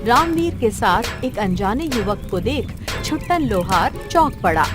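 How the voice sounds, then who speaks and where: A woman's voice: on the high side, sing-song, unhurried, music in the background. A woman narrating a scripted children's cartoon story as the storyteller.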